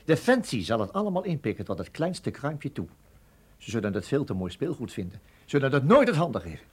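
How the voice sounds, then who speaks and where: Husky, grumbling army colonel. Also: male voice, medium pitch, very animated, a little fast, darkish tone. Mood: irritated, grumbling. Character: blunt, irritable male army colonel, grudging and temperamental. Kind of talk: Dutch radio drama acting.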